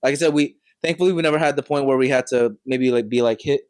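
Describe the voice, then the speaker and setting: A man's voice: mid-range voice, quick pace, darkish tone, dry recording, studio quality. A young man from Connecticut hosting a podcast, laid-back and casual, calling people bro and man. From Connecticut, USA. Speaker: podcast host. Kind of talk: casual chat.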